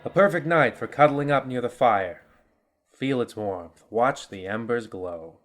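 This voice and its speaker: A man playing a pompous, crotchety old narrator of a radio horror show, with a theatrical, drawn-out delivery. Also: medium pitch, fairly bright tone, natural voice. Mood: ominous, theatrical.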